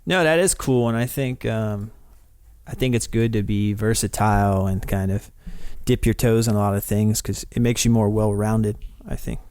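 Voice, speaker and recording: A man's voice: on the low side, moderate pace, darkish tone, clean tone, no room sound. A man from North Carolina hosting a podcast, friendly and upbeat, chatting casually with lots of fillers. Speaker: podcast host. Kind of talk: casual interview chat. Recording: dry close sound with faint music behind.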